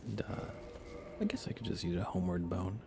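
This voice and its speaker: Chatty guy streaming a game asmr style, gravelly fry, lively up and down intonation, noisy game ambience behind him. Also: deep voice, a little fast. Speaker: livestream host.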